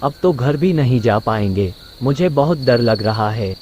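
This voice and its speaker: A man's voice: medium pitch, expressive, unhurried, a little hiss or hum, natural voice. A man voicing a timid, anxious young villager who frets and complains in a cartoon story. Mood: fretful. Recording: loud music behind the voice.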